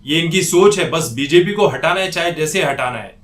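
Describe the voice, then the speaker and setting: A man's voice: fairly high pitch, expressive, moderate pace, a bit bright. A man giving an opinionated political commentary monologue in an indignant, accusatory manner. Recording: echoey room.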